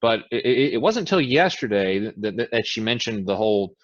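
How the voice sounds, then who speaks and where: A man's voice: lowish voice, sing-song, brisk, dull audio. An actor in a staged reading playing an awkward, blunt man who is easily flustered and hesitant. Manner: hesitant, stammering.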